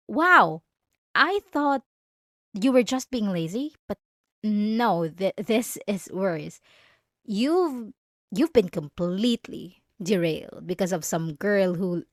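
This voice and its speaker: A woman's voice: mid-range voice, no echo, clean studio sound, natural voice. A young woman playing a jealous, condescending yandere student bully in an ASMR roleplay, speaking straight to the listener with sarcastic, taunting emphasis. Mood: jealous, scornful.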